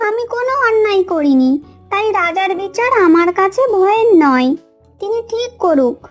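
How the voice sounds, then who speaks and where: A woman voicing a polite, steadfast mother hen in a cartoon story, reasoning calmly. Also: extremely high pitch, normal speed, faint music behind. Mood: calmly firm.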